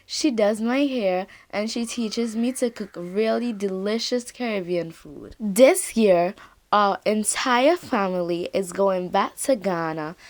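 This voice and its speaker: A woman voicing a young girl who tells her own story in an expressive, scripted children's storybook narration. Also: mid-range voice, no echo. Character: young Ghanaian-Canadian girl telling her festival story.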